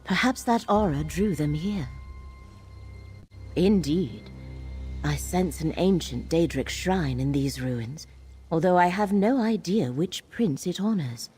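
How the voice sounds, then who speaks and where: Mellow, grave fantasy scholar. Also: female voice, lowish voice, lively intonation, breathy edge, faint music behind, exaggerated voice. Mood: solemn, measured. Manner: measured, formal, solemn. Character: grave, scholarly woman guide to ancient ruins. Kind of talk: fantasy video game dialogue.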